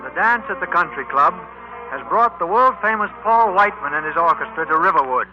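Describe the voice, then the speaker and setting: A man's voice: fairly high pitch, expressive, fairly quick. A man giving scripted scene-setting narration for a 1940s radio drama. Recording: dull lo-fi 1940s radio recording. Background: music.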